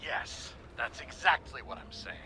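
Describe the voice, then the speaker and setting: An actor playing an uneasy police boss in a video game who coaxes like a buddy, hesitant and evasive. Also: male voice, unhurried, bright, forceful tone, slight background noise. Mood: evasive, insistent.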